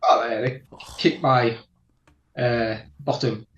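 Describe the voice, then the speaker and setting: A man from South Yorkshire with a strong Yorkshire accent, chatting as a guest and telling his martial arts story slowly and carefully, often laughing. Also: medium pitch, steady tone. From England (Barnsley, South Yorkshire). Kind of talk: casual interview chat. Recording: echoey room, dull lo-fi audio.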